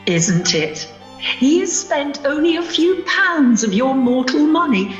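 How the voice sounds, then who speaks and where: A woman's voice: sits high, very animated, slow pace, full character voice. A woman acting a solemn, commanding ghostly spirit in a radio play, speaking in a stately, formal manner. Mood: challenging. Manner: stately, formal, probing questions. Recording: roomy, dull audio with music.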